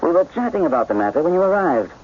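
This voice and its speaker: A shrewd gentleman detective, speaks with wry precision and lively, swinging intonation. It is radio mystery acting on a muffled recording with faint hiss. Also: on the high side, fast talker. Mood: wry, musing. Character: shrewd, inquisitive gentleman detective, recovering from illness.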